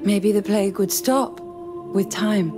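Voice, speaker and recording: A woman's voice: medium pitch, takes it slowly, music in the background, close to an everyday voice. A woman playing a caring, composed, thoughtful mother in a video game scene. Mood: reassuring. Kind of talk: video game cutscene acting.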